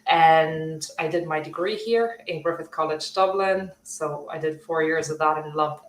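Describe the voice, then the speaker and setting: Woman from bulgaria, 30s, low voice, friendly guest chat w fillers, echoey room. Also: normal speed. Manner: friendly, laughs easily, fillers. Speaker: guest, software development leader. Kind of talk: casual interview chat. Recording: roomy echo.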